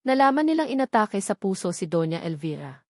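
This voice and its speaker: A woman's voice: mid-range voice, big pitch swings, fairly quick, darkish tone, dry recording. Female narrator for dramatic fiction; mid-range voice, dry studio sound. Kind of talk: scripted dramatic story narration.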